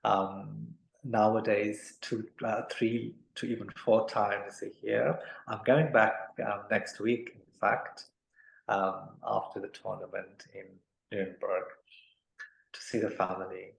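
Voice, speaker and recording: A man from Africa, answering interview questions in a measured, polite way with many pauses and ums. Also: lowish voice, fairly even intonation, creaky. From South Africa. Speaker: guest, amateur tennis player. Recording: echoey room.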